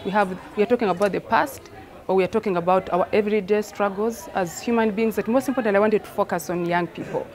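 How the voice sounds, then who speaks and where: A woman's voice: medium pitch, fast talker, darkish tone, a faint crowd. A woman from Rwanda, a theatre director explaining her work reflectively, repeating phrases for emphasis.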